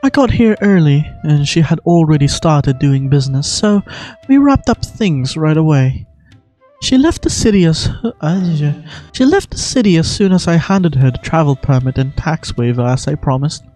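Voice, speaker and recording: A woman voicing a calm, courteous and firm female village guardian in game dialogue, speaking in a composed, measured way. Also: low-pitched, faint music behind. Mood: matter-of-fact. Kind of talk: fan voice-over of video game dialogue.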